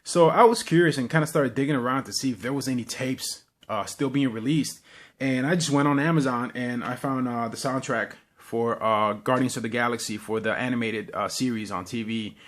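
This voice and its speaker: Casual, halting man. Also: mid-range voice. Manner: halting, rambling delivery with repeated words. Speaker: vlogger. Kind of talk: casual unscripted show-and-tell.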